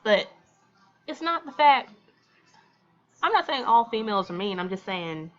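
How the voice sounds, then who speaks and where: A woman's voice: fairly high pitch, big pitch swings, unhurried, faint music behind. American woman gaming host, slightly dull mic. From Texas, United States. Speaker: let's play host. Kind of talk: visual novel read-aloud with casual commentary.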